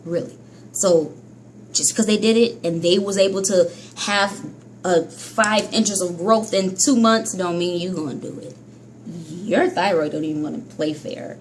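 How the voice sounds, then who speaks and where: Chatty woman vlogger scolding herself. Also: slight background noise. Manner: chatty, scolds herself, jumps between high and low pitch. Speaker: hair care vlogger. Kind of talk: casual vlog monologue to viewers.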